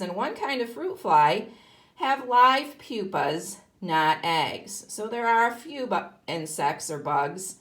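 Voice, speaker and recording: Slow, very animated woman teacher. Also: medium pitch. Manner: simple, deliberate, emphatic teacherly phrasing. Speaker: kindergarten teacher. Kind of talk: read-aloud lesson for young children, explaining and asking questions.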